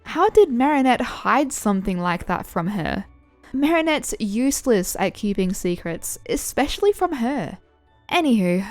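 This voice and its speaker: Woman narrating fiction over soft music. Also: mid-range voice, dry recording. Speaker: narrator and host. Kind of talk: expressive fan fiction narration. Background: background music.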